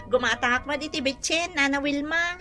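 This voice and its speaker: A woman's voice: sits high, normal speed, sharp tone, soft background music. An actress with an Ilocano accent playing a cheerful, giggly, friendly neighbourhood mother in a comedy radio drama. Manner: smiling, laughing often.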